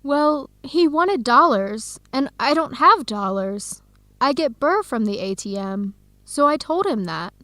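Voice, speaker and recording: Young woman student, very high voice, earnest, radio drama dialogue, dry close sound. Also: expressive, unhurried, fairly bright tone, no room sound. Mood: explaining, defensive. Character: upset young Ethiopian-American student, defensive and earnest. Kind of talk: radio drama acting, simulated video call.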